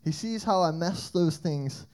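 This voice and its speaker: A young man speaks in a mellow, somewhat high voice, chatting like he's telling friends a story. He slips in rhetorical questions as he gives his faith talk in a church hall. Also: a little slow. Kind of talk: energetic youth talk.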